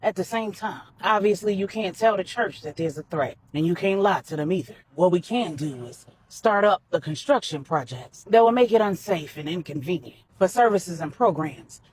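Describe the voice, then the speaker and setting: A woman storyteller voicing a commanding, protective male crime boss with a measured, persuasive manner. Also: lowish voice, expressive, a little mellow, breathy edge. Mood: assured, persuasive.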